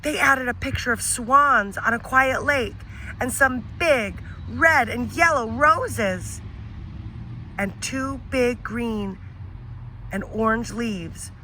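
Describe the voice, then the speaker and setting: Slow, bright teacher reading to kids. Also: female voice, a little high, a little hiss or hum, poor audio. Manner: slow and expressive, asks the listener questions. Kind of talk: children's read-aloud story.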